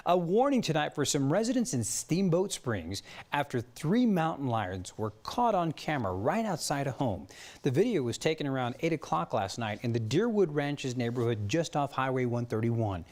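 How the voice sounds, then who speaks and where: Man, American accent, TV news anchor, scripted narration, mid-range pitch. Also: sing-song, normal speed, darkish tone.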